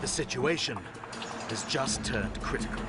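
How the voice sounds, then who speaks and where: Guy narrating a dramatic documentary, serious and suspenseful, mid-pitched voice, big loud music and gunfire behind him. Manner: grave, measured, suspenseful phrasing. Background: loud dramatic music, battle sounds.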